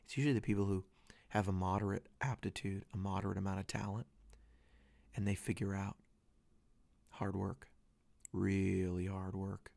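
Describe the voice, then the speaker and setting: A man's voice: sits low, little pitch movement, moderate pace, gravelly, long pauses, a little mellow, no echo. A man in his thirties hosting a casual solo podcast, talking in a rambling, earnest way with many restarts while he is losing his voice. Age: 30s. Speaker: podcast host. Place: away from home, dry small space.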